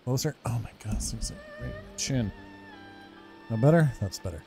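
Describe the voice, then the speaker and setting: A man in his thirties plays a game and talks to himself in a mellow, swooping voice. He swears freely in casual asides, with faint game music behind him. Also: medium pitch, huge pitch swings, normal speed. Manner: sweary, muttering asides to self. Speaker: gaming streamer. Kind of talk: casual game playthrough commentary. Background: soft game music.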